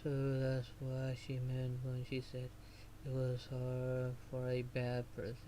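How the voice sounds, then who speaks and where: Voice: medium pitch, completely flat delivery, takes it slowly, mellow, slight background noise. A game streamer giving casual commentary and hesitantly reading dialogue choices aloud, half muttering.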